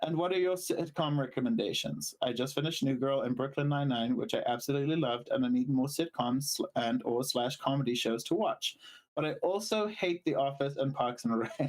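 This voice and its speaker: A man from Zimbabwe co-hosting a podcast, chatting casually and telling stories in a halting, rambling way. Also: medium pitch. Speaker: podcast co-host. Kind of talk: casual chat and storytelling.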